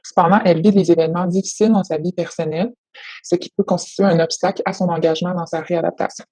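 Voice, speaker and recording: Female clinician. Mellow, slightly raspy, formal and measured, dry recording. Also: fairly low pitch, fairly even intonation, no echo. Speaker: occupational therapist. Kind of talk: professional phone consultation, prepared report.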